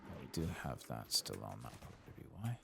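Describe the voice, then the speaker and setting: A soft-spoken man giving casual ASMR game commentary, muttering as he thinks aloud, close to the microphone. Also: rumbling low, sing-song, fairly quick, vocal fry, over music. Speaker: host of a gameplay channel.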